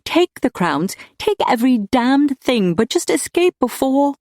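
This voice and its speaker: Woman voicing a fierce, passionate lady, swooping pitch. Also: expressive, takes it slowly, darkish tone, dry recording, clean studio sound. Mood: desperate pleading. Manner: emphatic, heated, pleading. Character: fierce, passionate, stubborn young lady in love. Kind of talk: audiobook character dialogue.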